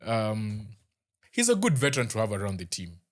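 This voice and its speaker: A young man with a Kenyan accent, casually reviewing a rugby match as host, chatty and halting with lots of fillers and restarts. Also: on the low side, wildly swooping pitch.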